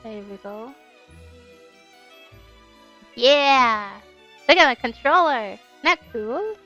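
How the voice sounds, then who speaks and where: High-pitched, playful woman streamer. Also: animated, slow pace, bright, forceful tone, dull audio. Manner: bubbly, playful, giggly. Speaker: VTuber game streamer. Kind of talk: casual livestream chat with read-aloud game narration. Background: game music.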